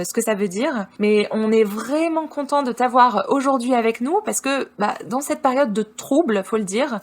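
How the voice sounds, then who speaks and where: A woman co-hosting a podcast, introducing a guest and asking questions in an earnest, friendly way with many hesitations. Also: fairly high pitch. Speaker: podcast co-host.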